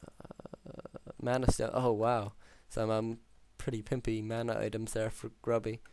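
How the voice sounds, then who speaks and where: A man calling a strategy game match alone, talking through plays with many ums, his voice hoarse after hours of casting. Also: lowish voice, measured pace, no echo. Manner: steady, filler-heavy analytical play-by-play. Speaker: game caster. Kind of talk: solo esports play-by-play commentary.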